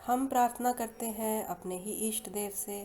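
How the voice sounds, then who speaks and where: Indian female meditation guide, mellow and soothing, long pauses, soft music underneath. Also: a little high. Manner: soothing, unhurried, with long pauses between phrases. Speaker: guided meditation and hypnosis leader. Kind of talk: slow guided meditation narration.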